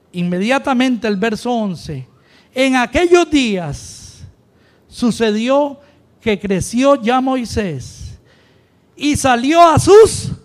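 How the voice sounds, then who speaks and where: A man delivers a sermon. He preaches with rising emphasis and keeps calling out to his congregation. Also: very high voice. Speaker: pastor.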